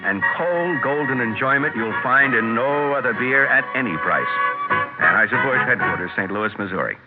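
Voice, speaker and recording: Loud music plays on a thin vintage radio recording behind a male commercial announcer. His polished, savoring delivery rises and falls emphatically. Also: mid-range voice, expressive, moderate pace, phone-quality sound. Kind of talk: 1970s radio beer ad read.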